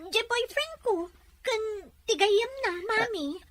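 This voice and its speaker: Very high-pitched, sulky daughter. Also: female voice, animated, slow, crowd noise, heavily acted. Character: sulky, whiny young daughter disappointed by a blind date. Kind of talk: Philippine radio serial acting.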